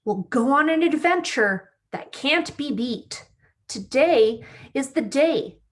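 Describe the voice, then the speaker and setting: High-voiced woman, educational host. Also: lively intonation, takes it slowly, a little hiss or hum. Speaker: speech-language pathologist, host. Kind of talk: children's read-aloud and educational explanation.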